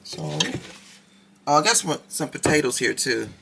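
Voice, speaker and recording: A woman with a raspy voice, chatting casually to her viewers in a home kitchen cooking vlog. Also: deep voice, lively intonation, measured pace, background noise. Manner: chatty, talks straight to viewers. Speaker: cooking vlogger.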